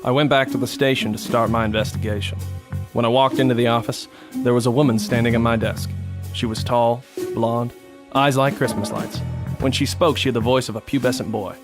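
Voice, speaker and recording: A man playing a hard-boiled, world-weary police detective in a noir radio drama, terse and deadpan. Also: medium pitch, a little fast, over music, lightly acted. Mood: businesslike.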